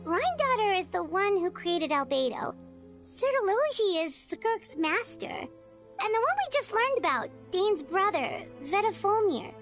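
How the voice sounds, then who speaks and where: A small, chirpy game companion, in a very high, squeaky voice that leaps up and down. She sounds eager and curious, and faint game music plays behind. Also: very animated, measured pace, fairly bright tone, full character voice. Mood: puzzling. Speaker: game character. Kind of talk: video game voice acting.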